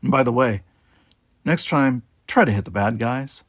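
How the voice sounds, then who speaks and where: A man's voice: mid-range voice, normal speed, slightly rough, no echo, clean studio sound. A man voicing a commanding, wry male starship captain in an audiobook, with a blunt manner.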